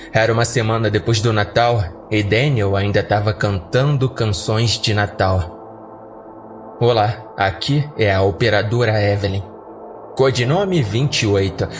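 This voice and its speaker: Brazilian man narrating over music. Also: on the low side. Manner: colloquial, conversational storytelling. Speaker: horror story podcast narrator. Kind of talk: audiodrama narration in first person.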